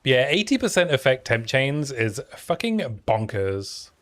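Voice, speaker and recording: A young man with a soft, soothing voice fit for bedtime stories. He talks easily and casually, mid-pitched, breaking off from play to answer his chat. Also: measured pace. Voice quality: soothing sleep-story voice. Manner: relaxed, chatty, answering chat between plays. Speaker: game streamer. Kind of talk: casual live-stream commentary while playing.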